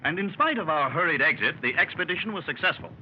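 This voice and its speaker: A man's voice: on the high side, animated, fast, natural voice. A man playing a bold old-time radio explorer. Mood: modest. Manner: dramatic storytelling, shouting orders in the action scene. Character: daring male explorer recounting a jungle escape. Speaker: club member telling his adventure. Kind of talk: 1930s radio drama acting. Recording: muffled 1930s radio recording with hiss.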